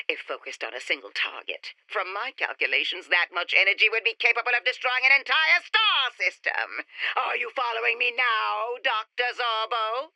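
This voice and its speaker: A coolly ambitious alien scientist from a retro radio serial. The delivery is formal, precise and self-important, in a piercingly bright, lively voice with a dry, close sound. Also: female voice, on the high side, no room sound, exaggerated voice. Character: self-assured, ambitious female alien inventor prodigy, coolly scheming. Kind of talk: retro sci-fi radio play acting.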